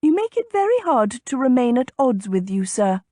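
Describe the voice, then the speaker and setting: Woman as spirited young noblewoman, lifted lively voice. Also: high-pitched, normal speed, dry recording, studio quality, pitched above the performer's own voice. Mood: teasing. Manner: emphatic, expressive, formal period speech. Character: spirited young noblewoman, tart, warm, stubborn. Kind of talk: audiobook character dialogue.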